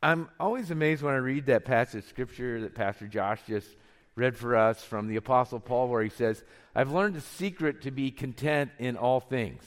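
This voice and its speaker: Man preaching with a cold-deepened voice. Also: moderate pace, big-room sound. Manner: conversational storytelling preacher, chuckles often. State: has a cold, deeper voice than usual. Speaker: pastor. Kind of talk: sermon.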